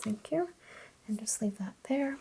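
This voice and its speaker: A woman role-playing a medical examiner in soft-spoken ASMR, murmuring gentle instructions and often slipping into whispers. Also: a little high, expressive, a little slow, gravelly, a little hiss or hum.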